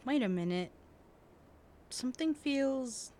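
A woman playing a determined, earnest young heroine in an audio drama, with an intense, deliberate delivery. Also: high-pitched, expressive, darkish tone. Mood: wary. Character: determined, earnest young heroine fighting to save her family. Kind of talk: audio drama acting with narration. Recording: recording with faint background noise.

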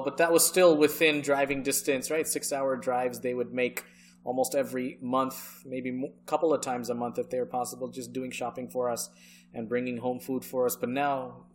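An American man with an ordinary mid-range voice shares his life story in a podcast interview. He speaks earnestly and leans on his points, often pausing to check in with the listener. A faint hiss runs quietly behind him. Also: mid-range voice, little pitch movement. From United States. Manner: earnest and emphatic, often checking in with "okay" and "right". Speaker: Islamic scholar guest.

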